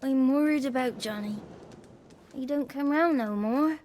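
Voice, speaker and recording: High-voiced, wary peasant child. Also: female voice, a little mellow, a little hiss or hum. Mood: wary, worried. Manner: halting, plain rustic speech. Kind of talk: video game cutscene acting.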